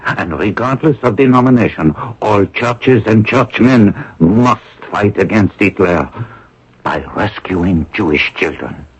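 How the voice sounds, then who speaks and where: An actor playing an earnest, practical French priest in a radio drama, speaking deliberately. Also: male voice, on the low side, animated, husky. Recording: muffled old radio broadcast.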